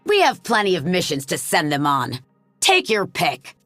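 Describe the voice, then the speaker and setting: A woman's voice: lowish voice, huge pitch swings, normal speed, a bit bright, no echo, faint music behind. Strict, commanding female combat coach. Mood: scornful. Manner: stern, commanding, clipped. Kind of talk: English video game voice acting.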